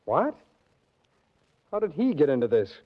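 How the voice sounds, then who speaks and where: A man's voice: on the high side, wildly swooping pitch, measured pace, full character voice. An actor playing a menacing, impatient male crime boss, demanding and threatening in 1940s radio drama style. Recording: muffled 1940s radio recording.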